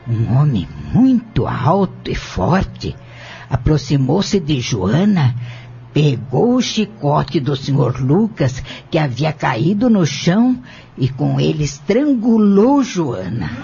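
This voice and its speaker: A man playing an elderly, firm woman shopkeeper who testifies in a measured, formal way in an audio drama. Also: fairly high pitch, very animated, creaky, mellow, slight background noise, full character voice. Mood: grave. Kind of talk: audio novel acting. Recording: dull lo-fi audio with music bed. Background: music.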